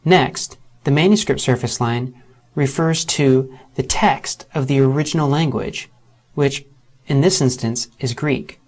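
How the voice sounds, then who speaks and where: A man narrating a screen-recorded software tutorial. He walks through each step methodically and slows down to spell out letters and terms. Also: medium pitch.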